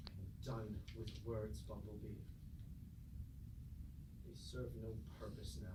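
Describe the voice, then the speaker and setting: A man playing a weary, disillusioned robot warrior in a staged tragedy, subdued, halting and solemn. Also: on the low side, level tone, very noisy. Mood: bleak, resigned. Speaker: stage actor. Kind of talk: staged reading of mock Greek tragedy. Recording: very distant, echoey stage recording.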